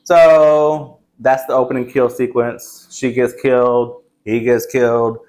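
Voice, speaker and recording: A man's voice: mid-range voice, flat delivery, slow pace, a little mellow, low-quality recording. A man in his forties chatting casually as a movie review co-host, rambling and chatty, recorded a few feet from a camera in a small room. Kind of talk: casual film chat. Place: small indoor game room.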